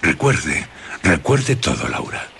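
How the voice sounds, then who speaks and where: An actor playing an ominous, insistent storyteller in a radio drama, speaking theatrically with suspenseful pauses. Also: male voice, very deep voice, very animated, creaky, a bit bright, slight background noise, exaggerated voice. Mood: insistent, coaxing. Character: ominous, insistent male storyteller coaxing out dark secrets.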